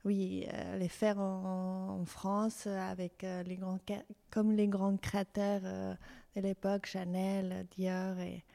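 Mexican woman, low creaky voice. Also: flat delivery, slow, dark tone. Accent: Mexican Spanish. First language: Spanish. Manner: hesitant, many fillers and restarts. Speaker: fashion designer, guest. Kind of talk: interview conversation.

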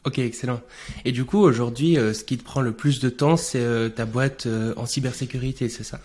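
A man's voice: medium pitch, normal speed, a little mellow. A man hosting a podcast, reading a punchy opening and then asking loose questions with fillers. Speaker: podcast host. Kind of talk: podcast intro and interview questions.

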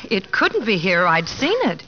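A woman's voice: mid-range voice, big pitch swings, normal speed, sharp tone. A genteel young woman, muffled radio. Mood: flustered, prim. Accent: American. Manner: polite, prim, earnest. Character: genteel, flustered but spirited young station helper. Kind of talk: 1950s radio drama acting with narration. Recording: muffled vintage radio broadcast, music behind.

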